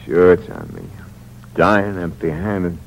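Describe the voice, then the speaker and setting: Dying young tough, slow and low. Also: male voice, huge pitch swings, gravelly, highs cut off, a little hiss or hum, exaggerated voice. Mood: bitter. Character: tough dying young ex-convict, wounded, bitterly amused. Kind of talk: old-time radio crime acting. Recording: vintage radio drama recording, music behind.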